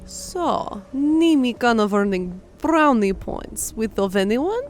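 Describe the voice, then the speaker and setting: A woman's voice: fairly high pitch, lively intonation, takes it slowly, soft background music. A woman voicing a young, eager female creature who speaks in broken, oddly built sentences, in an audiobook story. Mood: curious. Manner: broken, oddly phrased foreign-sounding grammar. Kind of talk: audiobook character acting.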